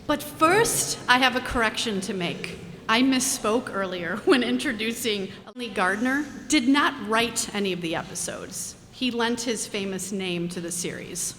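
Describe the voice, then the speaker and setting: A woman's voice: on the high side, expressive, unhurried, a bit bright. American woman hosting in an echoey hall. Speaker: host introducing a stage show. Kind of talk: informal spoken introduction to an audience. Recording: echoey hall, some background noise.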